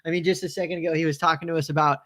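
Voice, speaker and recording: A man's voice: a little high, little pitch movement, racing, fairly bright tone. A man hosting a casual live interview, asking questions in an eager, effusive and praising way. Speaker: podcast host.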